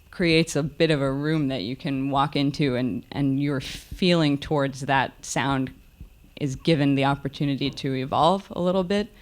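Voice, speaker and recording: An audience member giving a reflective, analytical comment in a thoughtful, articulate, hedging manner. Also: medium pitch.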